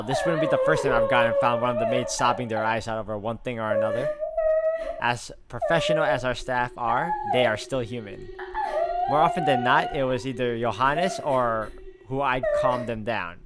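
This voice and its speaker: A man's voice: medium pitch, normal speed. A man playing and reading a horror visual novel aloud, mixing dramatic narration with casual asides as a let's player. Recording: loud music behind the voice.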